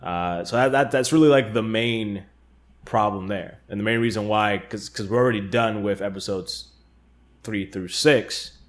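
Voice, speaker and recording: A man's voice: on the low side, moderate pace. A man co-hosting a casual fan discussion, talking in an informal, conversational way. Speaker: podcast co-host.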